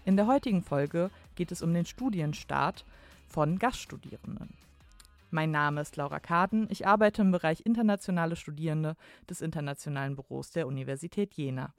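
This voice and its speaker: A woman hosting a university podcast, politely welcoming her guest and asking clear questions. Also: fairly low pitch, no room sound, studio quality. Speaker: podcast host, university international office staff. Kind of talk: podcast interview.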